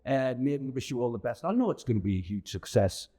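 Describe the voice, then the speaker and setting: A male interviewer with a soft, dark timbre. His pitch is average and his questions come in an informal, halting, chatty manner. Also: sing-song. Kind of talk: casual interview chat.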